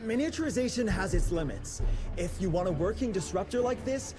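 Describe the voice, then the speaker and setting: Game role: flustered nerd inventor, wildly lively intonation, scatterbrained and jargon-prone; loud score. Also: male voice, high voice, a little fast, over music. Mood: lecturing. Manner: scatterbrained, jargon-heavy, muttering. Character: flustered, eccentric nerdy genius inventor. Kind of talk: video game cutscene acting.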